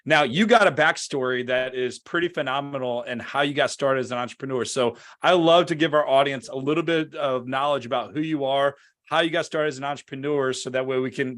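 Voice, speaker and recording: A man's voice: medium pitch, fairly even intonation, a little fast, a bit bright. A man hosting a business interview show, promoting his community and introducing a guest in an upbeat, conversational way. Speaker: podcast host.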